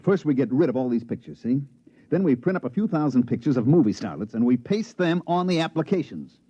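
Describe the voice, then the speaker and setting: A man's voice: mid-range voice, lively intonation, brisk, mellow, slightly rough. An actor playing a brazen male con man in a 1950s radio drama, glib and slangy. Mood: scheming. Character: fast-talking, brazen male swindler narrating his own con. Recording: dull vintage 1950s radio recording.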